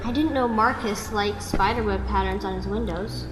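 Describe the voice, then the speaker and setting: A woman's voice: medium pitch, moderate pace, noisy background, lightly acted. A woman playing a questioning, wary companion in an amateur audio drama. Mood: wary, curious. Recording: echoey long reverb, music behind. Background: music.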